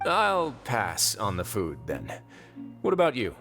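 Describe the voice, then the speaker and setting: A man playing a calm, wry, authoritative prison overseer in a video game, speaking in a composed, formal way. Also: fairly low pitch, unhurried, soft background music, heavily acted. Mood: courteous, composed. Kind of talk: video game character voice acting.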